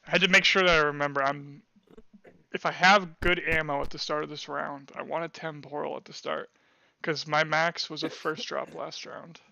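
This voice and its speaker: Co-streamer, male, gravelly and very bright, matter-of-fact, heard over flat dry voice chat. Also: a little high, normal speed, no echo. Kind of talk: casual gameplay chat. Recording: dull, dry voice-chat audio.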